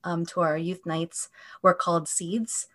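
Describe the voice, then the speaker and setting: A woman's voice: on the low side, little pitch movement, unhurried, fairly bright tone. A woman of twenty-two, a youth ministry leader, talking casually and hesitantly with many fillers on a podcast.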